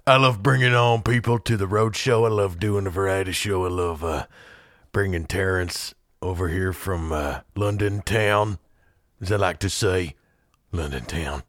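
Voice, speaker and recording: A man playing a folksy, dim Old West tooth-puller hosting a comedy show, rambling and halting with an American accent. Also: deep voice, steady tone, fairly bright tone, dry recording, clean studio sound. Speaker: host. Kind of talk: improvised comedy role-play.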